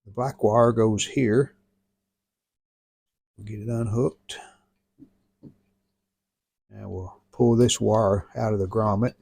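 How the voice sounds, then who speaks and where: A man with an American accent and a noticeably creaky, gravelly voice talks through a repair job unscripted. He takes his time, speaking very slowly in a folksy, plain way. The sound is close and dry, with little room around it. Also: on the low side, steady tone, darkish tone, no echo. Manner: folksy, plainspoken, thinking aloud while working. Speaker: host of a radio restoration channel. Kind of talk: unscripted hands-on repair narration.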